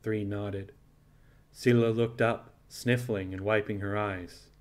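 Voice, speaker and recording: A man's voice: lowish voice, steady tone, slow. A man reading as narrator for a scripted fiction podcast.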